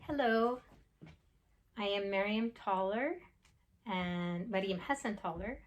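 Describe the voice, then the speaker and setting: A woman from Egypt, a performing musician, introducing herself in an unhurried way. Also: medium pitch. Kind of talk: self-introduction to camera.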